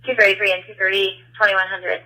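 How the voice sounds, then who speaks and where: Male police dispatcher, high voice, fast brisk read-outs over a radio channel. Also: flat delivery, sharp tone, low-quality recording.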